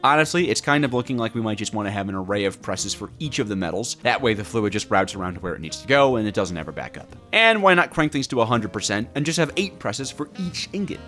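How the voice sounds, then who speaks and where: A man's voice: on the low side, lively intonation, quick pace, few pauses, a bit bright, faint music behind. A man narrating a story-driven gaming video from a script, with a theatrical, mock-grandiose delivery.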